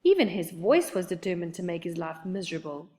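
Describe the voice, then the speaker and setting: A woman reading a children's book aloud in an expressive narrating style. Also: on the low side, fairly quick. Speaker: storybook reader.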